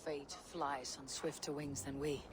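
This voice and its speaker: A woman's voice: deep voice, steady tone, unhurried, background noise. An actress playing a proud young Viking warrior woman in a video game, speaking solemnly in poetic phrases. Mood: resolute. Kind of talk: video game cinematic acting.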